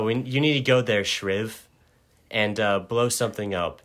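Man as breezy rebel adventurer, animated intonation, casual, halting, deadpan, amateur game dub. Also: mid-range voice. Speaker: amateur fan-dub voice actor. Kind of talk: loose improvised game fandub.

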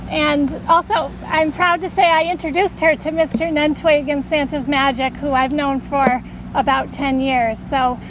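Soft-spoken woman speaking outdoors, high voice. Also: fairly even intonation, noisy background. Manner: soft-spoken, halting with restarts. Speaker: volunteer program director. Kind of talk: outdoor ceremony speech. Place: outdoors at a podium in front of a brick building. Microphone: podium mic.

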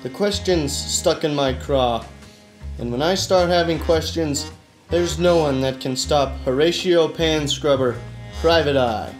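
An actor voicing a skeptical, hard-boiled private eye with dry, deadpan delivery in a noir detective radio play. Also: male voice, fairly high pitch, measured pace, soft background music, lightly acted, rough recording. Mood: brooding narration. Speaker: detective and narrator.

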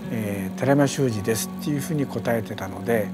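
A man speaking reflectively in an interview as a performer, pausing often to search for words. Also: fairly low pitch, over loud music. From Japan. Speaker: performer and artist's collaborator. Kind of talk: reflective interview in short phrases.

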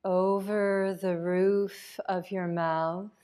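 A woman leading a guided meditation as a yoga nidra teacher, calm and unhurried, leaving long pauses between phrases. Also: mid-range voice, smooth.

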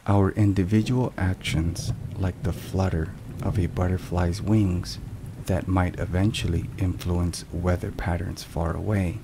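Man, low pitch, unhurried pace, hushed ASMR delivery, scripted devotional narration, measured. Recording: steady rain and thunder noise. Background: rain and thunderstorm sounds.

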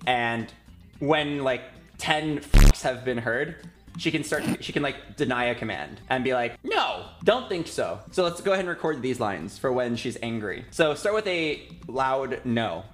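A young man talks at a medium pitch in a casual streaming style, giving directions as he goes. Faint video game music plays behind him. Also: very animated, measured pace, a bit bright. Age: 20s. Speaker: streamer directing voice line recording. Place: indoors, streaming room.

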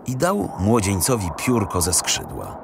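Deep-voiced, slow, expressive male storyteller. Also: gravelly, noisy background. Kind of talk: scripted fairy-tale narration. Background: music.

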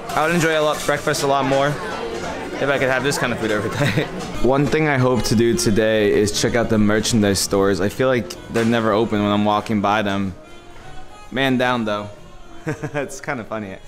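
A man's voice: medium pitch, normal speed, a crowd in the background. Chatty young man vlogging a buffet. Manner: upbeat, chatty, reads signs aloud. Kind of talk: casual travel vlog narration. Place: indoor buffet restaurant on a cruise ship. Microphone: handheld camera at arm's length. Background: background music playing.

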